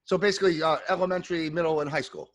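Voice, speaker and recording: A man's voice: on the high side, steady tone, racing. A man hosting a podcast, talking enthusiastically in a rambling, casual way with plenty of fillers. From New York. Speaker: podcast host.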